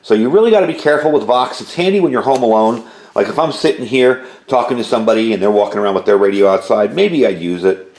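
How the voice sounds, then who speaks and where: A man's voice: mid-range voice, expressive, fast talker, darkish tone. A man giving a casual, rambling product review as a hobbyist, explaining features in a conversational way. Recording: echoey room with faint background noise.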